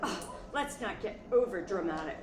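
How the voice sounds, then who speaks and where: A woman playing a composed, well-meaning playwright's wife in a measured, theatrical radio play style. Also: medium pitch, animated, crowd noise, lightly acted. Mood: patronizing, kind. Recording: roomy echo, faint noise.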